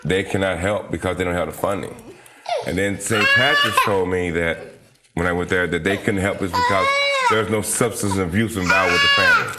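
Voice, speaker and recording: A man's voice: low-pitched, huge pitch swings, fairly quick, very noisy. A middle-aged man giving a plain personal account as an interview guest. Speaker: interview guest, father of young children. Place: small TV studio living-room set. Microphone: lapel.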